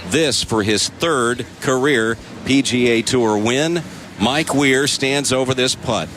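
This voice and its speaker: A man's voice: mid-range voice, slow pace. A man commentating live on golf as a broadcast announcer. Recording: noisy outdoor broadcast with loud crowd. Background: crowd and outdoor noise.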